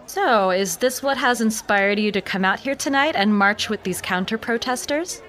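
An actress playing a keen local news field reporter in an audio drama, probing and urgent. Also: female voice, mid-range voice, normal speed, a bit bright, lightly acted. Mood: professional, probing.

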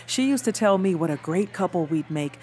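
An actress playing a dry, gossipy small-town woman with deadpan, natural delivery in an audio drama. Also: on the low side, brisk, slight background noise, natural voice. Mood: amused, dry. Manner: deadpan, wry.

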